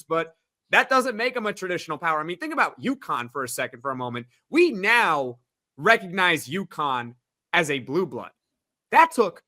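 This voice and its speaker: A man's voice: high-pitched, pushed and bright. A man on a sports podcast making his case with rhetorical questions. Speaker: podcast panelist. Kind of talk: casual sports debate.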